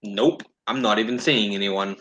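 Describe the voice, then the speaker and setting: A man's voice: lowish voice, moderate pace, fairly bright tone, low-quality recording. A man hosting a casual commentary chat in a blunt, sardonic, sweary way. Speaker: host.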